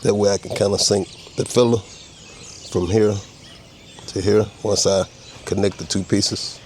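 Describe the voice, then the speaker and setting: Low-voiced man with a head cold. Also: steady tone, unhurried, darkish tone, slight background noise. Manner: easygoing, talks while working, explains each tool. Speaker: custom car restorer demonstrating a repair. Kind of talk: casual step-by-step how-to narration. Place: outdoor workshop.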